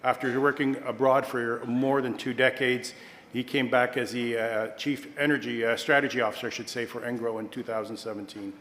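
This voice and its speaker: A middle-aged man from Kenya moderating a conference panel, formal and measured, with frequent fillers and self-corrections. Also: mid-range voice, level tone, a bit bright. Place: large conference hall. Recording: long hall reverb.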